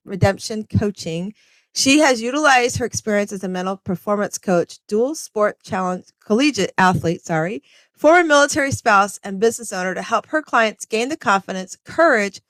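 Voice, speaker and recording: A woman hosts a podcast with an enthusiastic, effusive manner. Her voice sits at a middle pitch, and the recording is dry and close. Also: a bit bright, no room sound, rough recording. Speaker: podcast host. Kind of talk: casual podcast interview. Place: Tampa area.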